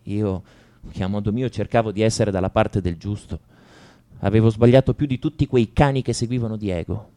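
Un attore che interpreta un liceale tormentato e amareggiato, in un monologo confessionale di radiodramma, con enfasi riflessiva. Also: male voice, fairly low pitch, brisk, dark tone, close to an everyday voice. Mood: bitter remorse. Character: brooding male high school student confessing to violence. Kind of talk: radio drama monologue, first-person narration.

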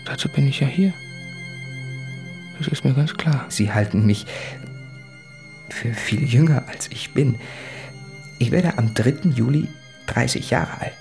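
Anguished thirtyish letter writer, radio play, gravelly intense confessing, music underneath. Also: male voice, medium pitch, a little slow, pauses often, a little mellow. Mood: confessional. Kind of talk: literary radio drama monologue.

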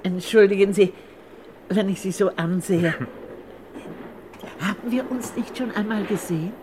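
A woman's voice: medium pitch, slow pace, lightly acted. An actress playing a persistent, nosy and talkative old woman on a train in a 1960s radio crime drama. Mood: curious, polite. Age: older. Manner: insistent, talkative. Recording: noisy vintage radio play recording.

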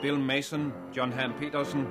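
Formal sixties radio announcer guy, very even delivery, loud guitar music behind him. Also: medium pitch. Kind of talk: formal scripted 1960s radio credits announcement. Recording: old radio broadcast over loud music.

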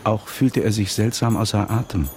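An actor playing a grave narrator in a radio play, measured and literary, speaking standard German. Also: male voice, on the low side, darkish tone, a little hiss or hum, close to an everyday voice. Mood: measured, solemn. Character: grave male narrator of a shipwreck tale.

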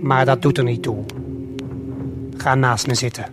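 A man narrating an audio play in measured short phrases, speaking straight to the listener with deliberate pauses. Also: mid-range voice, steady tone. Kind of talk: slow scripted storytelling addressed to the listener. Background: prominent music.

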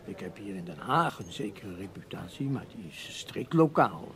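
An actor playing a proud, resigned middle-aged newspaper writer in a Dutch radio play, wordy and protesting in a Netherlands accent. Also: male voice, fairly low pitch, very animated, moderate pace, fairly bright tone, slight background noise. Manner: wordy, hesitant, protesting with repeated little words.

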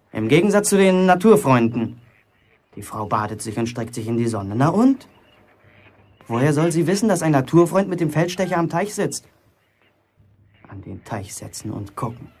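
Casting: boastful young working lad for radio drama, lively swooping pitch, gravelly edge, provocative and defiant. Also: male voice, a little slow, pauses often, exaggerated voice. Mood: defiant, mocking. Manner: colloquial, provocative, self-justifying. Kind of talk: German radio play acting.

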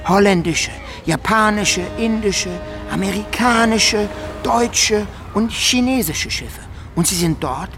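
Man voicing lively, high-voiced dreamy young fisherman. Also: exaggerated voice. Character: dreamy, hopeful young fisherman friend, grandly proclaiming. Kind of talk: radio drama.

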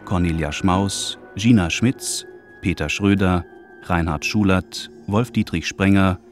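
A man announces a radio drama in a deep voice. His scripted delivery is formal, measured and slow, with music playing underneath.

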